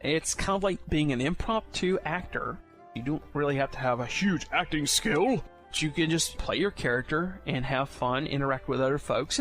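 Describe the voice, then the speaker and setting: A man's voice: on the high side, a bit bright. Man explaining casually over music. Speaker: podcast segment presenter. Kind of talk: informal explainer for new players. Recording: music playing behind the voice.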